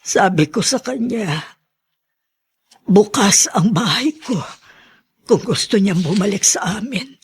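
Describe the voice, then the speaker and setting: A woman playing a weary, aggrieved Filipino grandmother in a radio drama, speaking slowly and sorrowfully in a husky, breathy voice. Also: medium pitch, lively intonation, exaggerated voice. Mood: hurt, bitter.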